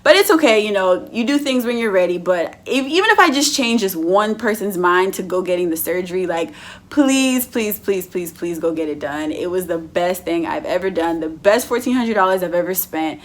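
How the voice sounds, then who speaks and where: A woman's voice: fairly low pitch, animated, normal speed. Emphatic young woman vlogger. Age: late 20s. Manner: emphatic, earnest, repeats words for stress. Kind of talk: casual storytime talk to camera.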